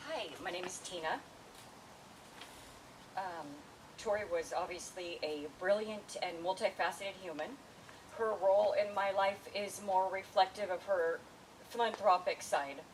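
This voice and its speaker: Earnest woman reading a tribute, distant mic. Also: mid-range voice. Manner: earnest, steady, heartfelt. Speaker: memorial speaker. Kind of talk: prepared tribute read aloud. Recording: distant mic with faint hiss.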